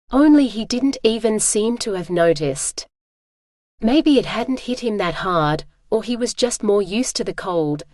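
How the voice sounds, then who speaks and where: Woman audiobook narrator, mid-pitched, steady read, close and dry. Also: dry recording. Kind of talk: scripted narration.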